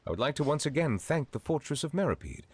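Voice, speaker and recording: A man playing an ageless, dignified chief judge in a video game, formal, reserved and measured. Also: on the high side, big pitch swings, a little hiss or hum. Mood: solemn, courteous. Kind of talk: video game dialogue acting.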